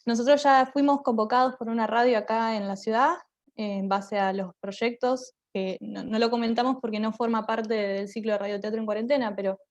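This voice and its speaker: A woman's voice: a little high, fairly even intonation, a little fast, lo-fi sound. A woman gives conversational panel answers, reflective and often rephrasing as she explains. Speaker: theatre group member. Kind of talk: conversational panel answers about creative work.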